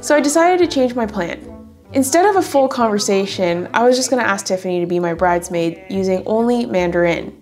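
A woman's voice: mid-range voice, lively intonation, a little fast, darkish tone. A woman in her twenties narrating a casual vlog from a small home room, chatty and self-deprecating. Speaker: vlogger. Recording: music bed under the voice.

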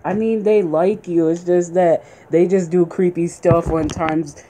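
A woman's voice: on the low side, steady tone, a little slow, a crowd in the background. A woman chatting casually and emphatically, repeating phrases for effect, recorded on a phone in a small bedroom. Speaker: interviewer behind the camera. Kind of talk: casual chat. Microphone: phone camera held by the speaker. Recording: noisy handheld home phone video. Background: clear background noise.

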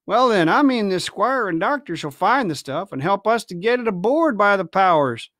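A man's voice: high-pitched, expressive, moderate pace, a bit bright, no echo, clean studio sound. A man reading a storybook, voicing a sly, domineering pirate ship's cook with hectoring, colloquial sailor speech. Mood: commanding, scheming.

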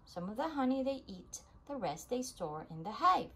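A woman reading a children's nonfiction book aloud, phrasing it deliberately in short, separate chunks. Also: a little high, sing-song, fairly bright tone, slightly rough. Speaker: read-aloud reader of children's books.

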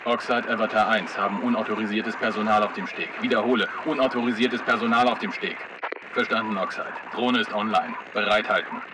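A man playing a calm, procedural military drone operator with clipped delivery in a video game. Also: on the low side, brisk, heavy background noise, close to an everyday voice. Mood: controlled. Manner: clipped, controlled.

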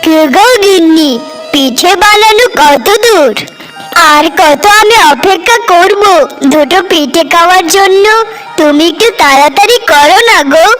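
A woman's voice: very high-pitched, measured pace, fairly bright tone, rough recording. A woman voicing a good-natured, contented farmer husband in a cartoon, with a coaxing, placating manner. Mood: eager, coaxing. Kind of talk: cartoon voice acting in dramatic dialogue. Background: background music.

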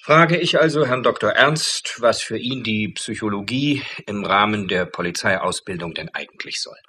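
A man's voice: on the low side, normal speed, bright, forceful tone, slightly rough. An actor playing a wry, pompous academic committee chairman with formal, florid manners in a radio drama. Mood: pompous, officious.

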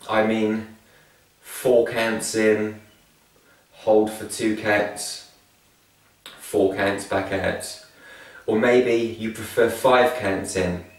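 Heard in a heavily reverberant space, a little away from the mic, a man guides a meditation. His voice sits fairly low and moves very slowly. He is calm and soothing, leaving long, measured pauses between phrases. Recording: very echoey room, distant from mic.

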